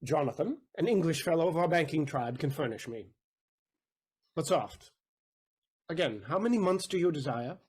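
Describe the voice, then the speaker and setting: Guy acting a bitter sly old-world moneylender, stage shakespeare, big swoops in pitch, rough voice, talks like speeches. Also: animated, darkish tone. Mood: brisk, scheming. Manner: deliberate, rhetorical, mock-humble and sardonic. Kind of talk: theatrical Shakespearean audio drama acting.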